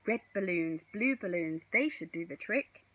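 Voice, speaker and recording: A woman voicing a brisk, unflappable boy hero in a children's storybook, chanting his instructions in a commanding way. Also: lowish voice, animated, no room sound, narrow, tinny audio. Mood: confident.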